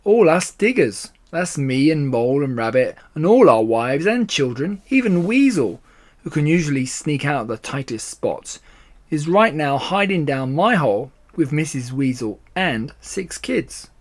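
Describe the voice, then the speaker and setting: A man voicing an excitable, anxious badger in a children's story, with blustery, emphatic exclamations. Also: fairly high pitch, a little slow. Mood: frantic. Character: excitable, anxious adult male badger, starving digger.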